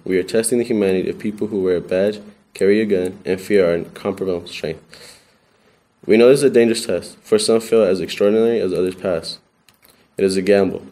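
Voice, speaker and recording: A man's voice: low voice, mellow. A man reading a poem aloud as a student, in a poetry recital style.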